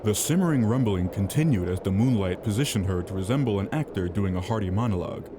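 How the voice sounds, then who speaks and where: A man's voice: on the low side, animated, fast, background noise. A man reading scripted narration for an audio drama. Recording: music under the voice.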